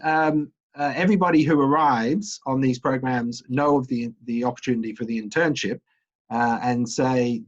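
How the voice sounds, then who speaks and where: A middle-aged man, an associate dean, interviewing a student in a relaxed way with many ums and uhs. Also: mid-range voice, breathy edge, dull audio.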